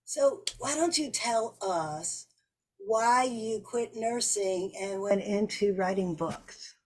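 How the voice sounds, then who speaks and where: A woman's voice: medium pitch, slow, slightly rough. A woman hosting a casual talk show, introducing and questioning her guest. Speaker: host. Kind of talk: casual interview chat.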